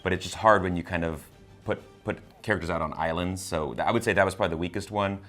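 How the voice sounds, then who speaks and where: Low-voiced man, halting and rambling. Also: a bit bright, soft background music. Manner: halting, rambling, repeats words. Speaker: entertainment show co-host reviewing a film. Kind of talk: casual movie discussion.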